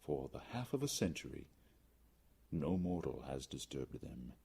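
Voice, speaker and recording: A man narrates gothic fiction in a deep, roughened voice. His delivery is theatrical and intense, with very lively intonation and deliberate phrasing. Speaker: audiobook reader.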